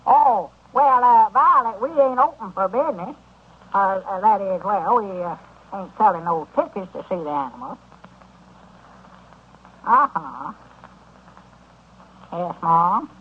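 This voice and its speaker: An excitable old Southern storekeeper in a radio comedy. His voice is very high and husky, and he hems and stammers in a folksy rural drawl. It comes through a dull old 1930s recording. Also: unhurried, pauses often, exaggerated voice. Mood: chatty, proud. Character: excitable old country storekeeper turned would-be circus manager.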